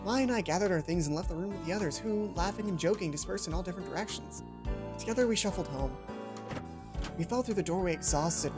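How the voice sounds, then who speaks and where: Woman, lower voice, let's play narration, casual asides, small reading stumbles, clear game music behind. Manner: stumbles and self-corrects while reading. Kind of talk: reading visual novel text aloud with casual commentary.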